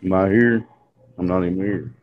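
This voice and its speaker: A lower-voiced man cracks jokes on a casual group call. His audio comes through dull and lo-fi. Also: fairly even intonation, moderate pace. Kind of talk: casual online group chat.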